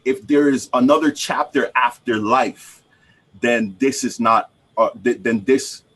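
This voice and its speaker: A man's voice: medium pitch, steady tone, unhurried, fairly bright tone, breathy edge, poor audio. A man speaking on a webinar panel over a video call, reflective and faith-driven, with hesitant restarts.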